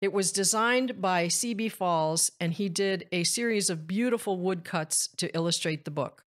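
A woman narrating a picture book for very young children, addressing them directly and pronouncing things deliberately. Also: lowish voice. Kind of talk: children's read-aloud, addressing young listeners.

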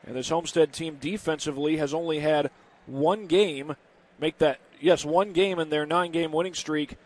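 A young man giving steady pregame basketball commentary as the broadcast announcer, sometimes correcting himself. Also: a little high, moderate pace. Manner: steady informative delivery, self-correcting. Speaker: play-by-play announcer.

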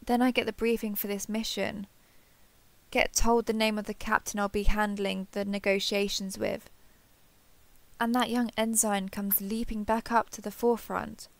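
A woman playing an earnest, affectionate starship captain in an intimate sci-fi audio roleplay, speaking haltingly and confessionally. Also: medium pitch, flat delivery, vocal fry, no echo, natural voice. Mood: reflective, fond. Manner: hesitant, halting, apologetic, confessional.

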